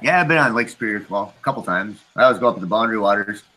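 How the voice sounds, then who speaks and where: A man's voice: on the low side, expressive, racing, bright, forceful tone, low-quality recording. A man with a Minnesota accent chatting casually on a live video call, laughing often and easygoing. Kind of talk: casual chat.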